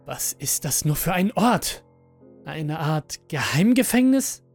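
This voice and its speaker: A man narrates a first-person horror story in a deliberate, dramatic way. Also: fairly high pitch, big pitch swings, creaky. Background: background music.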